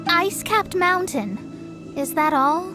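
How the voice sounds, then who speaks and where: A woman voicing a proud, inquisitive young female astrologer in a video game, with a haughty, deliberate delivery. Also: extremely high pitch, animated, music in the background, full character voice. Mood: skeptical, pondering.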